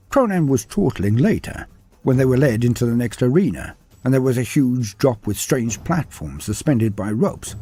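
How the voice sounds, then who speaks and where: A man narrating a scripted story in a dramatic, animated way. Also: fairly low pitch.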